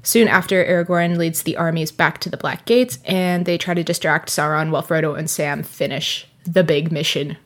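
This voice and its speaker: A woman's voice: low voice, steady tone, moderate pace, few pauses. A young adult woman co-hosting a podcast, chatting casually and summing up a book with lots of filler words. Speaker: podcast co-host. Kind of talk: casual chat and book synopsis.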